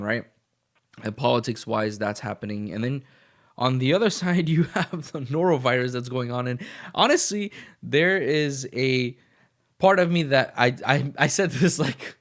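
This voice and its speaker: A man hosting his own podcast, talking casually and motivationally in a rambling way, a little sniffly as he gets over the flu. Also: medium pitch. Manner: rambling and motivational, with frequent restarts. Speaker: podcast host. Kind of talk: casual solo podcast monologue.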